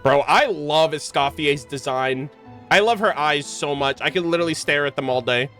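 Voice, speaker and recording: A young woman streamer talks casually over a game. Her delivery is informal, excitable and full of joking, with faint game music in the background. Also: deep voice, normal speed. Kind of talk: casual game livestream commentary.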